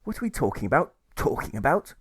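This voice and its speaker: A man voicing an indignant, gossiping woman in an audiobook, shrill, emphatic and outraged. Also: sing-song, quick pace, breathy edge, no room sound, clean studio sound. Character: indignant, gossiping female money changer.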